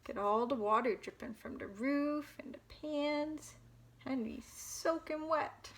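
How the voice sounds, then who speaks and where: A woman hosting storytime, reading a children's picture book aloud in an expressive, dramatic way for young listeners. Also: high-pitched, measured pace, slight background noise.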